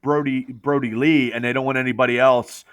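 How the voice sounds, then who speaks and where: A man's voice: mid-range voice, steady tone, a little fast, a bit bright, clear, resonant tone, dry recording. A man co-hosting a podcast, ranting bluntly about wrestling with plenty of swearing. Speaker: podcast co-host. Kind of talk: casual wrestling chat.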